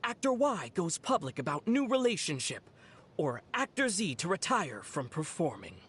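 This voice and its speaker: An actor voicing a young traveling adventurer in a video game, level-headed and gently teasing, explaining things in a dry, playful way. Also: mid-range voice, very animated, moderate pace, breathy edge. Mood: dry, explanatory. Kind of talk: video game character dialogue.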